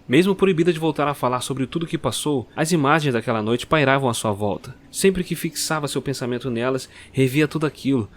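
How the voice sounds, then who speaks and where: A man narrating a dark story in a measured, dramatic way. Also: medium pitch. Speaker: narrator and producer.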